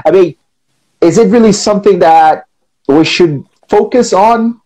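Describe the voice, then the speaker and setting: A man's voice: sits high, a little slow, darkish tone, poor audio. A man co-hosting a teaching podcast, chatting in a friendly way, often agreeing and laughing. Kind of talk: casual educational chat.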